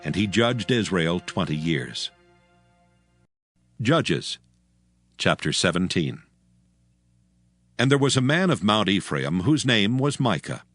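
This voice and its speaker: A man's voice: low-pitched, big pitch swings, slow pace, long pauses, a bit bright, dry recording, soft background music. A man giving solemn, storytelling narration of dramatized scripture.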